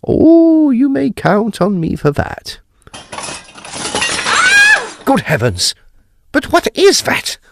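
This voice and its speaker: Man acting a grand, swooping gentleman occult detective. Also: very high-pitched, wildly swooping pitch, creaky, exaggerated voice. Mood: brisk, intrigued. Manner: grand, deliberate, theatrical exclamations. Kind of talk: period Gothic audio drama acting.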